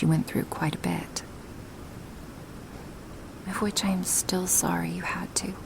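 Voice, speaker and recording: A huge, gentle dragoness acted by a woman speaks in a lowish, creaky voice, soft and close as in an ASMR roleplay. Her wording is formal and earnest, with an affectionate, half-whispered delivery. A faint noise sits under the recording. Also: close to an everyday voice, rough recording. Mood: sympathetic, earnest. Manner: slow, intimate, breathy whispering in places, formal wording.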